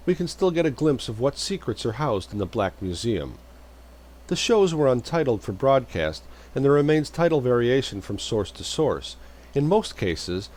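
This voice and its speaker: Guy narrating a factual script, pitch moves around a lot, medium voice. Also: a little mellow.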